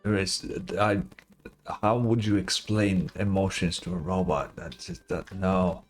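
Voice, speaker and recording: A man's voice: sits low, fairly even intonation, measured pace. A young man giving a casual live reaction as a gaming streamer. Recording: game music behind the voice. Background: video game music.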